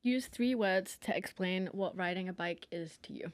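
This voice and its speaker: A woman from England co-hosting a cycling podcast, briskly running through the questions. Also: fairly low pitch, dry recording. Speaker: podcast co-host.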